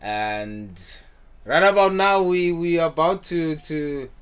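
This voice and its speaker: A man with a South African accent hosting a casual podcast, relaxed, often repeating words and dropping in isiXhosa phrases. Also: fairly high pitch, slow pace, smooth. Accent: South African English. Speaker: podcast host.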